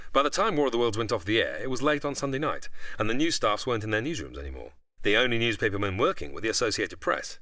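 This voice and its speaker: A man's voice: mid-range voice, sharp tone, dry recording, clean studio sound. A man giving prepared, articulate explanations as an expert guest, with a storyteller's delivery. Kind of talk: explanatory interview, largely prepared narration.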